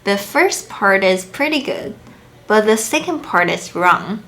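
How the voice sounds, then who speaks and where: A young woman, a native Chinese speaker, hosting a language lesson video and explaining clearly in an upbeat, easily impressed way. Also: mid-range voice, animated, unhurried, fairly bright tone. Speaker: language teacher and channel host.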